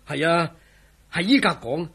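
A man playing a scoffing, jovial friend in a radio drama, teasing with drawn-out exclamations. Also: a little high, sing-song, gravelly, breathy edge, full character voice. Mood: wry. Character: scoffing, jovial man, mocking a believer in legends. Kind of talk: Cantonese radio drama acting.

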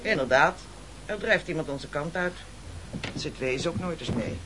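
An actor playing a pompous, scheming castaway boss in an absurdist comic radio play, speaking in grand, declamatory rhetoric. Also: male voice, fairly high pitch, animated, moderate pace, background noise, lightly acted. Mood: indignant.

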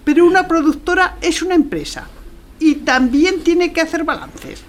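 An actress playing a brisk, bossy woman executive producer in radio theatre, speaking with cutting, emphatic authority. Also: very high voice, big pitch swings. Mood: businesslike, commanding.

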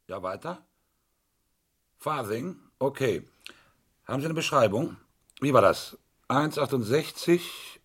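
An actor playing a brisk, businesslike police inspector with a slightly husky voice in a 1970s radio crime drama. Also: male voice, mid-range voice, full character voice.

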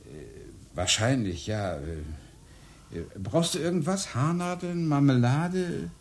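A man's voice: medium pitch, lively intonation, slow pace. An actor playing an awkward, hesitant grown son visiting his mother in a radio play.

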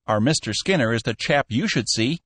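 Man doing cunning old business magnate, purring and sly, high lively voice, dry sound. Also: fairly quick, slightly rough, no room sound. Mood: sly amiable. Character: shrewd, meddling old male shipping boss.

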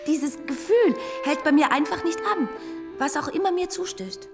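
A woman's voice: high voice, measured pace, music in the background. An actress playing a frank, resilient woman in her thirties in a German radio play, speaking lightly and candidly. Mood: wryly cheerful.

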